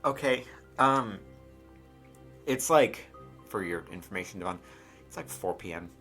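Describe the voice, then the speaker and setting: Male game master, bright tone, chatty and informal, improvising narration for a tabletop role-playing game. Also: lowish voice, animated, unhurried, soft background music. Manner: casual, conversational.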